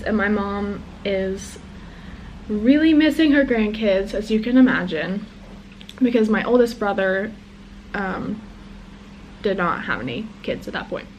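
A woman's voice: medium pitch, slight background noise, rough recording. A young woman from England who speaks with an American accent, rambling through a personal story to the camera with lots of ums and restarts. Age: young adult. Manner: rambling, with many ums and self-corrections. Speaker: vlogger. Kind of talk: casual chat to camera. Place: small indoor room. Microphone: camera mic close to face.

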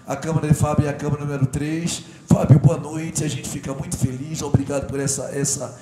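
A man's voice: on the high side, flat delivery, fairly quick. A middle-aged man hosting a studio interview show, talking in an effusive, rambling way with a lapel mic. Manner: effusive, rambling, jokes and shouts at crew. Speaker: talk show host. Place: TV studio set. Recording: echoey studio with audible background noise.

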